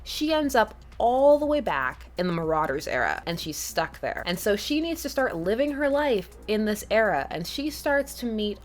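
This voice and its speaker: A woman's voice: mid-range voice, sing-song, moderate pace, few pauses. A woman hosting an enthusiastic recommendation vlog, speaking emphatically with stretched words for stress and playful asides. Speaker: host of a fan fiction recommendation show. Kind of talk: enthusiastic scripted vlog review.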